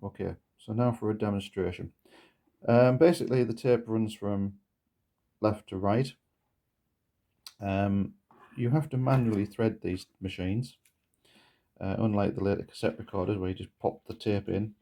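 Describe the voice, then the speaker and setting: Guy showing how a gadget works, low mellow voice, bit halting, explains as he goes. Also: fairly even intonation. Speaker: presenter of a gadget demonstration video. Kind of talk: casual hands-on demonstration, explaining step by step. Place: indoors at a wooden table.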